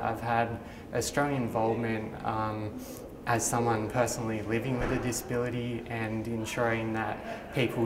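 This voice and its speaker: A young Australian man in his twenties talking carefully and deliberately about chairing a committee. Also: on the low side. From northern New South Wales, Australia. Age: 20s. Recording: distant mic, echoey room, noisy.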